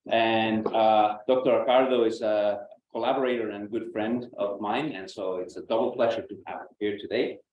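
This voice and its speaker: A man who is a professor, hosting a seminar and giving a formal introduction, measured, with filler sounds. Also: on the low side, steady tone, muffled recording. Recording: distant mic in an echoey room with faint noise.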